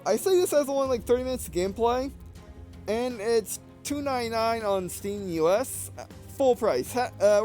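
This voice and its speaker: A man's voice: sits high, a little mellow. A man hosting a gameplay video with casual, rambling, digressive commentary, often trailing off mid-sentence.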